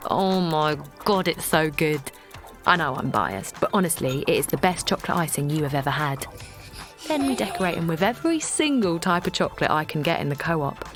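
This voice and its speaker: Linda, a bubbly mum for an audio drama, lively and sing-song, with faint music under her. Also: low-pitched. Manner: chatty, bubbly, laughing often. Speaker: narrator and lead character.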